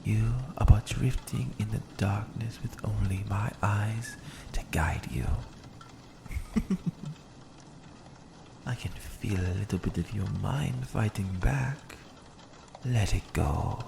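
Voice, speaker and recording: A smug, predatory vampire, acted by a man in an ASMR roleplay. The voice is fairly low and crackles with fry, drawing words out slowly. He teases and coaxes his prey with hypnotic menace. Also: exaggerated voice. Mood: hypnotic, soothing. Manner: slow, teasing, menacingly coaxing, sometimes whispering.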